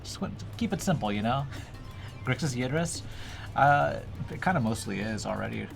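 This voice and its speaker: A man playing in a casual card game stream, relaxed, laughing often and swearing casually. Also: medium pitch, moderate pace, creaky, soft background music. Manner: laid-back, laughs a lot, swears casually. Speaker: player on the stream.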